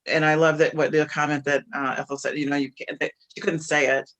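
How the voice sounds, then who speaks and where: A man with a slightly high, fairly flat voice talks through his thoughts on a video call. He speaks casually and haltingly, and often turns to rhetorical questions. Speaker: discussion participant who coaches executives. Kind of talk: casual reflective discussion.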